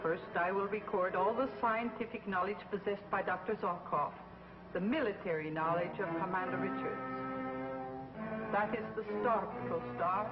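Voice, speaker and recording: A woman playing a vengeful, power-hungry witch queen in a 1950s TV drama, slow and imperious. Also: mid-range voice, natural voice. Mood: gloating. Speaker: villain. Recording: muffled old TV soundtrack with loud music.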